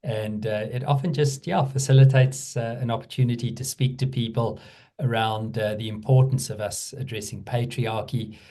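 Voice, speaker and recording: A middle-aged man with a South African accent, speaking as a guest in a conversational interview, measured and thoughtful, with frequent fillers. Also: medium pitch, fairly even intonation, warm tone. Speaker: guest, theology professor.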